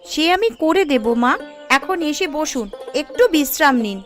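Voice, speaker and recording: A woman voicing a gentle, caring village mother and dutiful daughter-in-law in a cartoon, attentive and homely. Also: sits high, very animated, normal speed, soft background music. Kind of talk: Bengali family cartoon voice acting.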